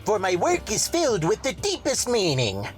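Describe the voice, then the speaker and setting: A grandiose, lewd artist acted by a woman for a game fan dub. The pitch swoops dramatically, over faint music. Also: huge pitch swings, normal speed. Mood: grandly self-important.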